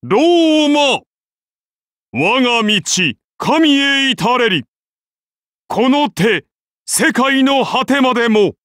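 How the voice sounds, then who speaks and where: A majestic warrior god-king from an anime battle game. He declaims very slowly and solemnly, with grand, widely swinging pitch, in a dry close recording. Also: expressive, fairly bright tone, no echo, exaggerated voice. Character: godlike male founder-king of Rome, majestic lancer warrior.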